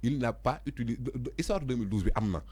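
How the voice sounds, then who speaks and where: A man's voice: mid-range voice, lively intonation, fast talker, a little mellow. A Senegalese man speaking as a guest commentator in a political discussion, emphatic and insistently repeating his phrases.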